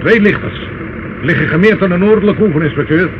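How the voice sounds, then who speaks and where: An actor in a Dutch radio play, playing a dutiful police sergeant who reports in a clipped, deferential way. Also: male voice, high-pitched, fast talker, natural voice. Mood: dutiful, alert. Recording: dull old radio recording, faint noise.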